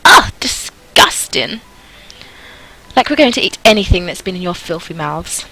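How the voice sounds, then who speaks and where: A woman's voice: on the low side, big pitch swings, a little slow, sharp tone, a little hiss or hum, full character voice, poor audio. A woman voicing haughty, affronted, melodramatic French society ladies in a visual novel. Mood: outraged. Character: snobbish, shrill, indignant French society ladies.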